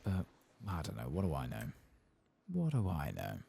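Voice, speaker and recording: A man doing soft-spoken, casual playthrough commentary as an ASMR gaming streamer, reading aloud and muttering asides. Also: extremely low pitch, huge pitch swings, normal speed, vocal fry, a little hiss or hum.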